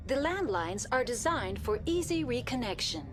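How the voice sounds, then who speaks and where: A woman's voice: on the high side, very animated, normal speed, music in the background. A woman voicing a polite, unemotional female computer intelligence in a video game, speaking in formal, procedural system announcements. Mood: calm, impassive. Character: polite, unemotional female AI running a research station. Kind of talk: scripted video game dialogue.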